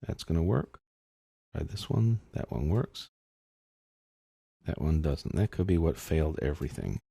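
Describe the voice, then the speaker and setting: A man narrating a casual software tutorial as an instructor, informally thinking aloud through each step. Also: extremely low pitch, expressive, measured pace, gravelly, slightly rough, dry recording, clean studio sound.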